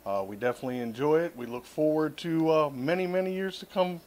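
A man from a parts supplier offers friendly congratulations and uses a few fillers. His voice is slightly high. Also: brisk, a little mellow. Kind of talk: congratulatory remarks.

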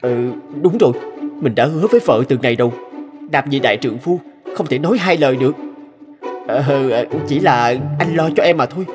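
A man voicing a devoted, anxious young village husband in an animated folktale, earnest and expressive. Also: mid-range voice, a little fast, close to an everyday voice. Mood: worried, puzzled. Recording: music behind the voice.

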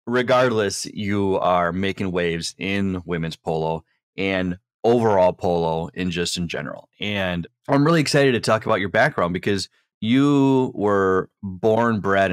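Deep-voiced chatty male podcast host. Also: unhurried, clean tone, no room sound, clean studio sound. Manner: chatty, rambling, with repeated restarts. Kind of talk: casual interview.

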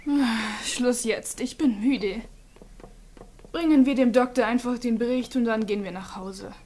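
A woman's voice: on the high side, unhurried, darkish tone, natural voice. A woman playing an exasperated, nervous teenage girl in an anime audio drama, with a nagging big-sister manner.

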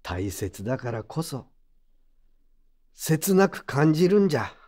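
An actor playing a gruff but kindly old craftsman over sixty in an audio drama, with old-fashioned, admonishing yet reassuring speech. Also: on the high side, very animated, a little slow, a little mellow. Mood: gentle, wise. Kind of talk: Japanese audio drama acting.

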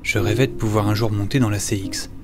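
A man's voice: fairly low pitch, fairly even intonation, quick pace, music in the background, natural voice. A man playing an eager, timid notary's clerk who tells his own story in a French audio drama. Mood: measured, wry narration. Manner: hesitant, stammering in dialogue. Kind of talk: French audio drama with first-person narration.

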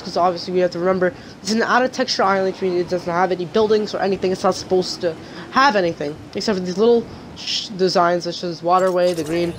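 A gaming channel host with a raspy voice and a sore throat, talking through a gameplay tutorial in a rambling, chatty way. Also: mid-range voice, a little hiss or hum, low-quality recording.

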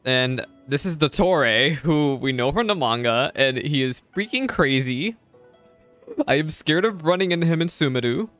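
A man's voice: on the high side, animated, moderate pace, a bit bright, soft background music. A young man giving casual live reaction commentary as a streamer, close on the mic. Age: young adult. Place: indoor streaming setup. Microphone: close desk microphone.

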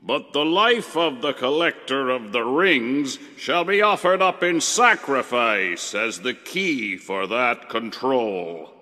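A grandiose immortal genie villain. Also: male voice, expressive, slow, few pauses, pushed and bright, in a large hall. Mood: menacing. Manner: grandiose, booming threats. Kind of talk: video game cutscene voice acting.

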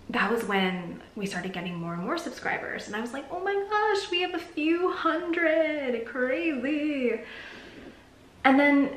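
A woman in her thirties talking to the camera in a casual, reflective vlog from her bedroom, sometimes dropping to a soft near-whisper. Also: medium pitch. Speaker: vlogger. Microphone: camera mic at arm's length. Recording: echoey room with faint hiss.

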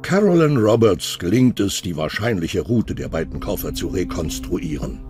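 A man's voice: deep voice, very animated, normal speed. A man reading scripted narration for a true crime documentary. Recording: music under the voice. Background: background music.

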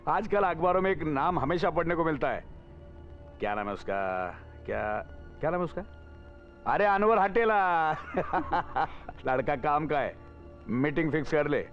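Young man acting as a corrupt wheedling politician, high-pitched, theatrical, gangster film spoof, muffled sound, music behind. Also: expressive, measured pace. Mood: smug, scheming. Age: young adult. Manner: theatrical, wheedling, repeats phrases. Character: scheming, corrupt politician who turns cowardly and pleading. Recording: muffled audio with background music.

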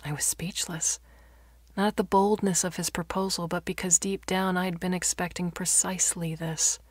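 Low-pitched, expressive woman narrating fiction. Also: moderate pace, no echo. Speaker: story narrator. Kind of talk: dramatic audiobook narration of fiction.

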